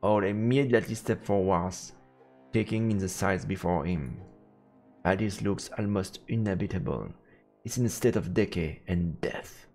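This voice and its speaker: A man streaming a visual novel, reading the text aloud as measured, dramatic narration. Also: deep voice, a little mellow, soft background music.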